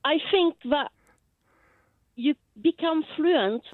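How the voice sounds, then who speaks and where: French lady on a call-in, slow to find her words, tinny phone sound. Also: high voice, animated, dry recording. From France. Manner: hesitant, searches for words. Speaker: caller. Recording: phone line.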